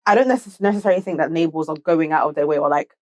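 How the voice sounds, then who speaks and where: A woman with a low voice talks quickly in a casual podcast debate. She qualifies her points as she goes, and the sound is dry and free of room echo. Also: darkish tone. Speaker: podcast co-host.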